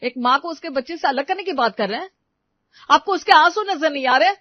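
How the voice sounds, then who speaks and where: A woman's voice: high-pitched, animated, a little fast, no room sound, dull audio, voice pushed up. A woman voicing an earnest, righteous young man in a melodramatic audio story, speaking with insistent conviction. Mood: indignant. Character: earnest, indignant young man defending a wronged widow.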